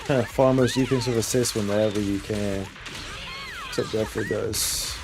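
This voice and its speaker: Low-voiced man streaming a game. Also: steady tone, normal speed. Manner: slangy, mildly profane, thinking aloud. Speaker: livestreamer playing an action game. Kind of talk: casual gameplay commentary. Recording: game music behind the voice. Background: game music.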